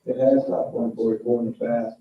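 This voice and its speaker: A man's voice: medium pitch, little pitch movement, brisk, dull audio. A man contributing informally to a meeting discussion. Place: echoey meeting room, picked up from afar. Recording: thin, echoey, distant audio with faint noise.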